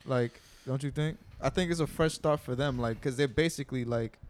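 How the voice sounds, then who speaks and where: A man's voice: medium pitch, a little fast. A young man in casual group talk, explaining at length with many fillers and restarts. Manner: animated, lots of filler words and restarts.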